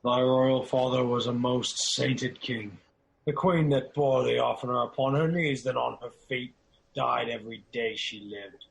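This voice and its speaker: A man playing a loyal, despairing nobleman in a Shakespearean radio drama, speaking in a solemn, declamatory way with a gravelly voice. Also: medium pitch, steady tone, a little slow, poor audio. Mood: despairing, indignant. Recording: clearly audible background noise.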